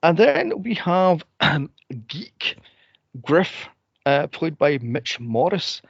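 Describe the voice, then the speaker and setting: A man's voice: sing-song, slow pace, gravelly, husky, no echo. A man co-hosting a film podcast, chatting casually and animatedly and slipping into exaggerated voices when he retells stories. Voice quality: says he has a deep, straight-sounding voice. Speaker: podcast co-host reviewing films. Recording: dry, slightly dull podcast mic.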